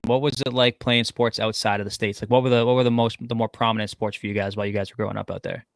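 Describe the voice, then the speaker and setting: Fast-talking male podcast host, flat delivery. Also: on the low side, creaky, dry recording, studio quality. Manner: quick, casual, calls guest "man" often. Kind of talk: interview hosting.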